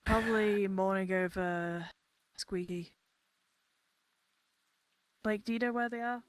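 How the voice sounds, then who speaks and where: A woman playing a village gardener in a fantasy roleplay, hesitant and halting but blunt. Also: mid-range voice, no room sound, lightly acted. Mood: uneasy. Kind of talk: in-character virtual reality roleplay.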